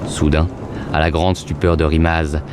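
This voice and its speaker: Very deep male fantasy narrator. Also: little pitch movement, normal speed, noisy background. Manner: literary, ominous storytelling. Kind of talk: scripted fantasy story narration.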